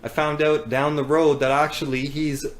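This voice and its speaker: A man's voice: fairly high pitch, little pitch movement, moderate pace. Joking Canadian man on a livestream. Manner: joking, rambling storyteller. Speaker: business owner hosting a live giveaway. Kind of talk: casual livestream chat.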